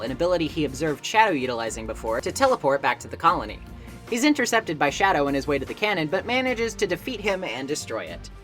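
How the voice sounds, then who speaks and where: Animated low-voiced woman narrating over music. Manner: wry, conversational, articulate. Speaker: video essayist, game reviewer. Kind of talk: scripted video essay narration, plot recap with wry asides. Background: background music.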